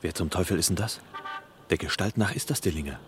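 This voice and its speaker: An actor playing a tense male FBI agent on a stakeout, talking in an urgent, hushed way in a radio crime play. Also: low-pitched, fast, noisy background, natural voice.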